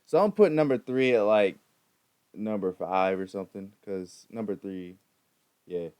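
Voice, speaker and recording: A man's voice: lowish voice, moderate pace, darkish tone, clean tone. A young man hosting a reaction video, chatting casually in slangy, informal language and often repeating himself.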